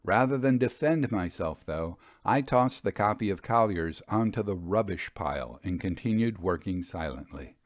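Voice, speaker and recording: A man narrating a scripted story in a measured, expressive, bookish manner. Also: low voice, no echo, studio quality.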